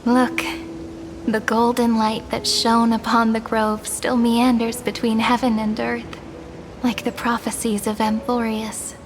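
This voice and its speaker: A gentle wistful young heroine. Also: female voice, fairly high pitch, level tone, a little slow, background noise. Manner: soft, earnest and reflective. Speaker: game character. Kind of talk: game cutscene voice acting. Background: soft game score.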